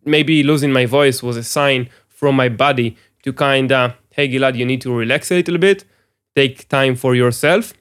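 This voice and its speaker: A man's voice: mid-range voice, normal speed, a bit bright. A man hosting a solo podcast, speaking earnestly through throat pain, with frequent restarts, repeated words and questions to the listener. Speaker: podcast host.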